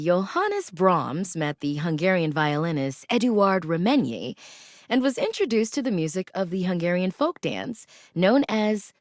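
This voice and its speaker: An actress playing a genteel, cultured classical radio DJ in a video game, announcing in a measured, precise, formal way. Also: female voice, on the low side, dry recording, clean studio sound. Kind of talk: scripted public radio announcing.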